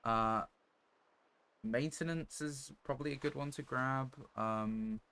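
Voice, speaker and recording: A man's voice: medium pitch, takes it slowly, sharp tone. A man giving casual live gameplay commentary as a streamer, muttering to himself as he plays. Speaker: game streamer. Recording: clear background noise, clicks.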